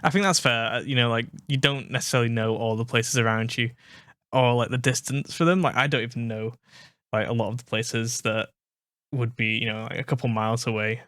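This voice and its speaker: A man's voice: mid-range voice, steady tone, a little fast, fairly bright tone, no room sound, clean studio sound. A British man hosting a reaction video, chatting casually and thinking aloud with fillers and restarts.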